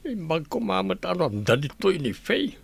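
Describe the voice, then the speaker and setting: A man playing a stubborn, ailing father and boss in a radio comedy drama, with a rough, grumbling, halting delivery. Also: high voice, very animated, full character voice.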